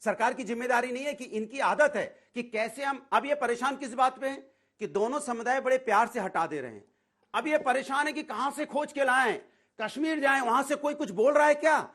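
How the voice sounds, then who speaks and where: High-pitched argumentative man. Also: brisk, a bit bright. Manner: argumentative, repeats phrases insistently. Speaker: political party spokesman on a debate panel. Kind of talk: heated political TV debate.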